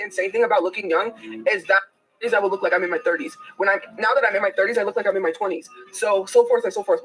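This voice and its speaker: Fast-talking woman streamer, about thirty. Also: mid-range voice, low-quality recording. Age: 30s. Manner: defensive, rambling. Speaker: livestreamer. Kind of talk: livestream chat.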